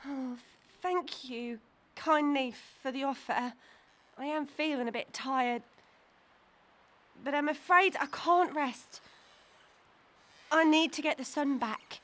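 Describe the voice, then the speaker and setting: High-voiced, earnest young girl. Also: slow, pauses often. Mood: polite, determined. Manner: thoughtful, gentle. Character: polite, earnest, caring young girl adventurer. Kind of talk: family audio drama acting.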